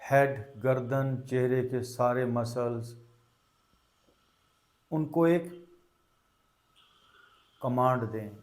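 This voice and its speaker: A man with a medium-pitched voice leads a guided meditation. He speaks very slowly and deliberately, in short calming phrases. Long pauses separate his instructions, as a teacher would leave them for students to follow.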